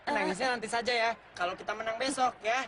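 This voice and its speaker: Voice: mid-range voice, fast, lightly acted. An actor voicing a loyal, spirited boy football teammate in a dubbed anime, emphatic and encouraging. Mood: glad, teasing. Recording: music and crowd behind.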